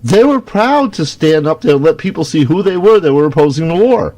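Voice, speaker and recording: A man's voice: fairly high pitch, lively intonation, fairly quick, darkish tone, rough recording. A man in his fifties giving casual, opinionated political commentary as a talk show co-host.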